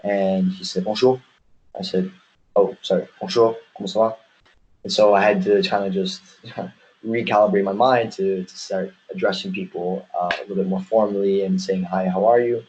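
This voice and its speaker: Low, even-voiced American man chatting. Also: moderate pace, rough recording. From United States. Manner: rambling, explanatory, peppered with "you know". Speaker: interview guest. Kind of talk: casual conversational interview.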